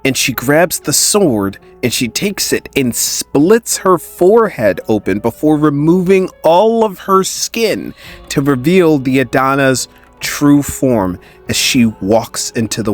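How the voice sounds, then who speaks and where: Animated man recapping a story over music. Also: medium pitch. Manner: conversational, informal storyteller. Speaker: comic book narrator. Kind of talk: casual animated story recap, quoting characters' dialogue. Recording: music faintly behind the voice. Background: background music.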